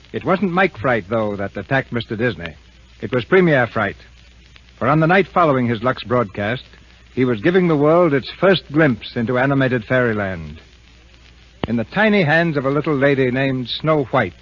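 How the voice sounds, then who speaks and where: A man hosting and narrating a radio drama in formal, grandiloquent 1930s scripted style. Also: medium pitch. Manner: grandiloquent, oratorical. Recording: muffled, husky-sounding old radio broadcast.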